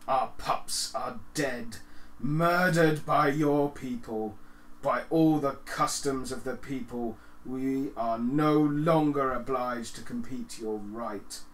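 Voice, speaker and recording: A man voicing a stern, angry werewolf leader in a tabletop game, declaiming slowly and formally. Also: medium pitch, sharp tone. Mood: stern, solemn. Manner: slow, solemn, formal declamation. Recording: echoey living room, background noise.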